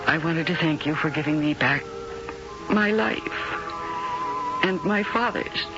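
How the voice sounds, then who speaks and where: A woman's voice: low voice, a little slow. An actress playing a glamorous, flirtatious woman singer in a 1970s radio drama, with a theatrical manner. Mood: hurried. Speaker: leading lady. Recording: muffled old radio broadcast with music.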